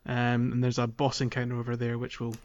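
A man's voice: mid-range voice, monotone, fairly quick. Man chatting on a dull-sounding gaming podcast. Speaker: podcast host. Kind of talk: casual gaming podcast chat. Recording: dull lo-fi audio with music behind. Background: music.

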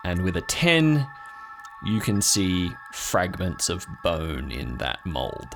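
A man's voice: low voice, wildly swooping pitch, takes it slowly, gravelly, over music. A man narrating an improvised horror tabletop game as game master, in a descriptive, suspenseful storytelling manner.

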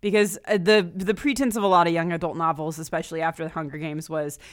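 A woman's voice: lowish voice, fairly even intonation, quick pace. A woman co-hosting a casual book discussion, talking conversationally and acting out imagined quotes to make her points. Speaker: podcast co-host discussing a graphic novel.

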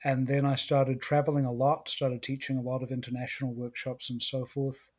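Husky-voiced middle-aged New Zealand man. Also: mid-range voice, level tone, a little fast. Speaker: guest. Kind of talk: casual interview.

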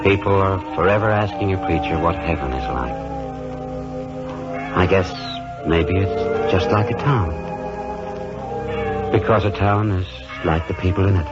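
An actor playing a gentle, devout small-town pastor in an earnest, measured 1940s radio drama style. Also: male voice, low voice. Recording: dull vintage radio recording with music.

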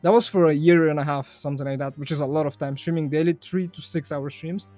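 A man's voice: a little high, fairly even intonation, quick pace, dark tone, no room sound, faint music behind. Young man. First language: Bulgarian. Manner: chatty, laughs easily, repeats phrases. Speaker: guest. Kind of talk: casual podcast interview.

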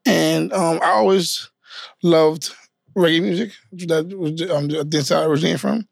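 Gravelly, higher-voiced man, casual guest. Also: fairly even intonation, normal speed, no echo, studio quality. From New York, family from Guyana. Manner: easygoing, loose storytelling with many fillers. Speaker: dancer, guest. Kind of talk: casual interview chat.

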